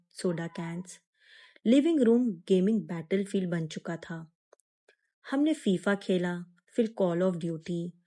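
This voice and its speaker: Woman telling a scary suspense story, really slow n measured, dark relaxed voice, dramatic pauses. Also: on the low side. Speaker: horror story narrator. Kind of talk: scripted first-person suspense story narration.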